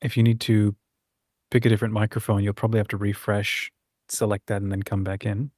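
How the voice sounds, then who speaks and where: A man's voice: on the low side, flat delivery, brisk, a little mellow, no echo, studio quality. A man hosting a livestream in a casual, informal and encouraging chatty way. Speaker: host of a writing community livestream.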